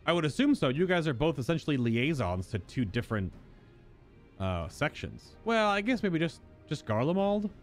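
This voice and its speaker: A man's voice: a little high, big pitch swings, normal speed, faint music behind. A man giving casual live-stream commentary on a game's story, chatting and speculating in asides between lines he reads aloud.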